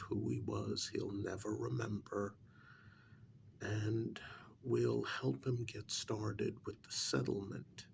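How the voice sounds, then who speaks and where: Man playing a vampire court confidant, soft ASMR role-play, heavy vocal fry, lowish pitch, whispery dips, measured and hesitant. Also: slight background noise, heavily acted. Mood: tentative, earnest. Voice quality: soft, breathy voice dropping into whispers. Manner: hesitant, measured, gently reasoning.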